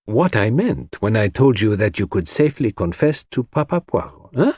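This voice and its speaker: A man voicing a shrewd, theatrical detective in an audiobook, speaking deliberately and dramatically with rhetorical questions as he lectures suspects. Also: lowish voice, big pitch swings, a little mellow, breathy edge, no room sound, clean studio sound. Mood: methodical, probing. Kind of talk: audiobook character dialogue.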